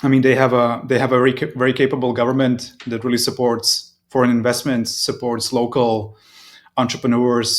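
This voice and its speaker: Man in his thirties. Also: medium pitch. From Serbia. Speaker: interview guest, proptech company representative. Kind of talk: conversational interview answers.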